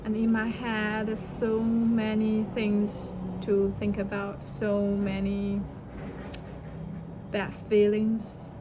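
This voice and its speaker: A young woman, a native Chinese speaker, talking through a personal reflective monologue with many fillers and restarts. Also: medium pitch. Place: outdoors by the sea. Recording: noisy outdoor ambience.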